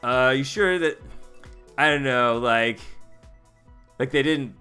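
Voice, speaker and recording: Laid-back slacker drifter, played by a man, bright forward voice, sleepy drawling slang, tabletop horror-comedy game. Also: mid-range voice, slow, clean tone, soft background music. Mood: casual, musing. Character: laid-back, sleepy young male vagabond amateur investigator.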